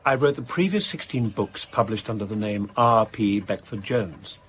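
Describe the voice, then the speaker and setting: A British man acting as a reclusive crime writer in a radio drama, evasive and wry. His delivery is pedantically articulate yet very animated in pitch, over a faint ambient background. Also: moderate pace, a little hiss or hum, close to an everyday voice. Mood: precise, guarded.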